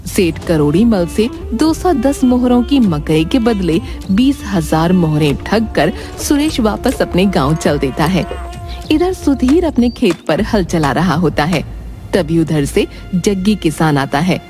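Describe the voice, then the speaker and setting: A woman's voice: lowish voice, expressive, a little slow, warm tone. A woman narrating a scripted children's story. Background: faint music.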